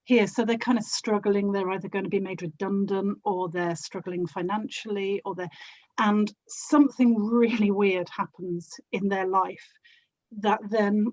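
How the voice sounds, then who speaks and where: A woman's voice: medium pitch, steady tone, measured pace, darkish tone, muffled recording. A woman from South London with a South London accent, chatting casually as an interview guest. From South London, England. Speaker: interview guest, audio drama actor and producer.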